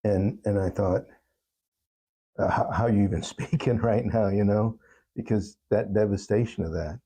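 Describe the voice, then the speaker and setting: A man speaking as an interview guest, telling personal stories in a reflective, halting and earnest way. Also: fairly low pitch, mellow, husky. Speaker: talk show guest, songwriter.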